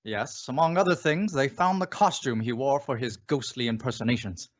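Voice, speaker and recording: A man's voice: medium pitch, moderate pace, highs cut off, close to an everyday voice. A man playing a resolute crime-fighting avenger in old-time radio drama style, with a dramatic, authoritative delivery. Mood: explanatory. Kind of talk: 1940s radio drama acting.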